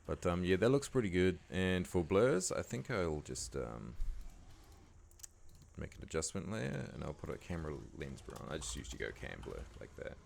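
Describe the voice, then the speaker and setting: A man with a croaky voice giving a laid-back, casual editing tutorial, talking loosely with lots of fillers. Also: low-pitched, big pitch swings, normal speed, a little mellow, slight background noise. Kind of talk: casual screen-recording tutorial voice-over.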